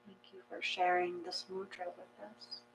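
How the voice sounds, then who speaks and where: A woman's voice: on the low side, flat delivery, moderate pace, creaky, slight background noise, poor audio. A woman co-hosting a guided meditation, speaking in short, simple phrases. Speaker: meditation session co-host.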